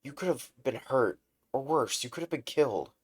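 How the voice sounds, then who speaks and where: A man voicing a big, heroic male superhero who speaks in a loud, formal, declaiming way in a fan-told story. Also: medium pitch, lively intonation, moderate pace, slightly rough, pitched above the performer's own voice. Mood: heroic, booming. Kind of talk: fan retelling of a hero story.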